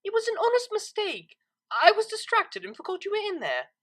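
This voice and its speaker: A woman's voice: very high-pitched, wildly swooping pitch, fairly quick, fairly bright tone, voice pushed up, exaggerated voice. A woman voicing a refined, naive young cellist pony in an audio story, with a proper, tentative manner. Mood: anxious, defensive. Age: young adult.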